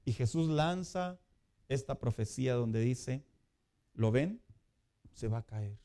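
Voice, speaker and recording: A man preaching a sermon as pastor in a conversational way, building to loud emphatic peaks. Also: mid-range voice, expressive, takes it slowly.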